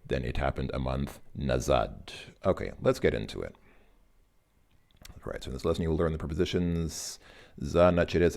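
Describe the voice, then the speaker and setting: Low-voiced male tutor, casual, hesitant, self-correcting explainer. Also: gravelly, a little mellow. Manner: thinks aloud, many fillers and self-corrections. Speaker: language teacher. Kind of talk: casual recorded lesson explanation.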